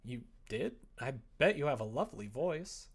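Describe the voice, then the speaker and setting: A man's voice: mid-range voice, sing-song, moderate pace, slightly rough. An earnest group manager from a visual novel, read by a man in his own mid-range voice. The character is apologetic and tentative, yet encouraging.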